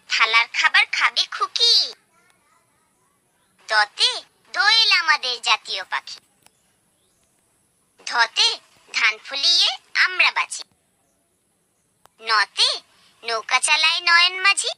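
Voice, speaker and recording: A woman reciting children's alphabet rhymes in a singsong way, enunciating each phrase deliberately as a presenter for small children. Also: high voice, animated, pushed and bright, dry recording.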